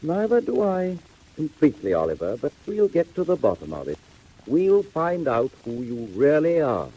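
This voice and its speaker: An actor playing a kindly, genteel wealthy gentleman in a 1940s audio drama, courteous, inquisitive and theatrical. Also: fairly high pitch, wildly swooping pitch, normal speed, slightly rough, exaggerated voice, poor audio. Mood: intrigued.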